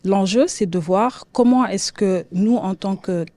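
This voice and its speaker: A woman's voice: medium pitch, a little slow, warm tone. A woman giving a formal, explanatory presentation as a workshop speaker. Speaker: workshop speaker representing an intercommunal body.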